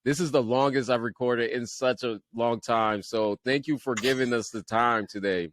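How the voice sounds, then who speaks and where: A man's voice: mid-range voice, steady tone, moderate pace, no echo. Laid-back Liberian man hosting a podcast. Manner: laid-back, repeats words and stumbles, laughs at himself. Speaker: podcast host. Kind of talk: casual podcast interview.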